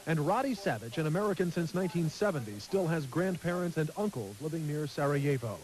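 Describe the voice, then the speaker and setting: A male television reporter delivering a formal, measured news package. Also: a little high, lively intonation, darkish tone, poor audio.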